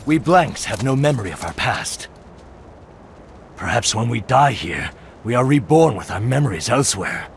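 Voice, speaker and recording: An actor playing a fatalistic, devoted soldier, grave and fervent, in a video game cutscene. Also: male voice, medium pitch, sing-song, moderate pace, background noise. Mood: grave resignation. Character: fatalistic, devoted male soldier ready to die for glory.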